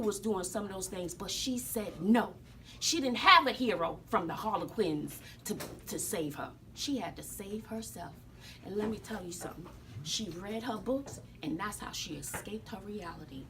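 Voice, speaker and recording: A woman's voice: a little high, sharp tone, slight background noise, full character voice. An American woman playing a sassy, folksy, wrinkled old woman who tells stories to students in an emphatic, theatrical way, repeating herself for drama. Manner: emphatic, dramatic, repeats phrases, addresses listeners as sugar. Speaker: teacher telling her life story to students. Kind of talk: theatrical classroom storytelling. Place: classroom with students present.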